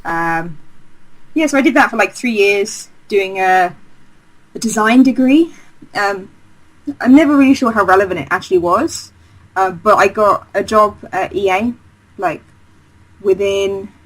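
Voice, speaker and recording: A woman's voice: mid-range voice, measured pace, pauses often, poor audio. Chatty woman, rambling enthusiastically. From Essex, England. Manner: chatty, rambling, with many fillers and restarts. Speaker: game designer guest. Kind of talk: casual interview chat.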